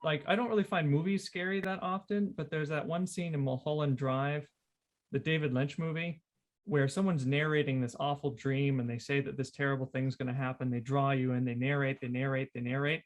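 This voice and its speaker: A man's voice: mid-range voice, fairly even intonation, fairly quick. A man hosting a casual literary discussion, repeating phrases for emphasis as he talks. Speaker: podcast host.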